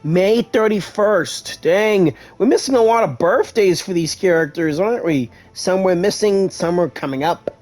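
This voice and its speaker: A gaming video host giving casual gameplay commentary, given to excitable exclamations. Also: medium pitch, a little slow, hardly any pauses.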